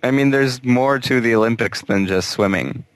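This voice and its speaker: A man co-hosts a podcast with playful, argumentative banter. His voice sits at an ordinary pitch. The recording is close and dry. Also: moderate pace, no room sound. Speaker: podcast co-host. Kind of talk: casual chat.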